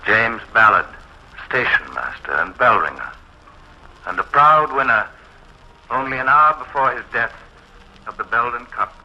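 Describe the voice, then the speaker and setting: Resolute village vicar, declamatory wartime oratory; very sharp, forceful tone on band-limited old radio. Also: male voice, on the low side. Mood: grave, mournful. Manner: measured, declamatory, rising to fervour. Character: solemn, resolute male English village vicar preaching. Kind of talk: solemn 1940s dramatic oratory. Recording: muffled, hissy 1940s radio recording.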